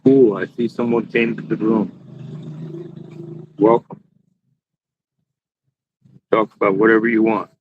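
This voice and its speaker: A man with a deep, raspy voice hosting a live chat room, chatting casually to himself while he waits for people on a small external mic. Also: flat delivery, takes it slowly, pauses often, narrow, tinny audio, slight background noise. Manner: rambling, talks to himself while waiting.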